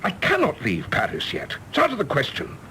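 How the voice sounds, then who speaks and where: An actor playing a proud, embittered English career diplomat, formal, clipped and argumentative, in a period radio drama. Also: male voice, on the high side, huge pitch swings, a little fast, pushed and bright, a little hiss or hum, heavily acted. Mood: indignant. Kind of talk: period stage-play radio drama acting.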